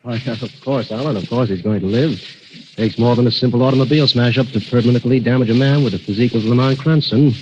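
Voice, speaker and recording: An actor playing a confident, hearty doctor in old-time radio drama, on a muffled, noisy recording. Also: male voice, mid-range voice, quick pace, natural voice. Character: brisk, confident male physician.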